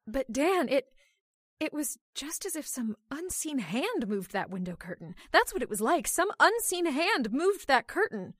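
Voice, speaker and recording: A woman's voice: sits high, sing-song, unhurried, a bit bright, exaggerated voice. A woman playing a curious, jumpy wife in an old-time radio horror drama, urgent and exclamatory. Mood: frightened. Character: jumpy, curious, insistent wife in a haunted house.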